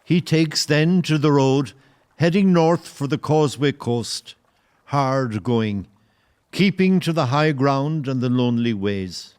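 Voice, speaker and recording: A man playing the solemn narrator of a historical audio play, with measured, literary, grave delivery. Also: mid-range voice, animated, darkish tone, clean tone. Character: solemn storyteller narrator of old sea tale. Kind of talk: scripted dramatic narration.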